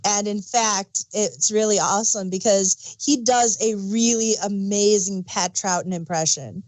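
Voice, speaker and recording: A woman's voice: mid-range voice, unhurried, darkish tone, no room sound, low-quality recording. A woman chatting casually as a podcast host, explaining background details like an enthusiastic fan. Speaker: audio drama review podcast host. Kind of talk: casual review chat.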